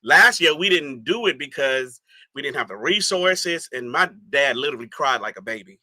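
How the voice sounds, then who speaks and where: A man's voice: mid-range voice, lively intonation, normal speed, pushed and bright, no room sound. A man from the Bahamas, a guest in a casual interview, talking emphatically and often checking in with his listener. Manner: emphatic, repeats "you see what I'm saying".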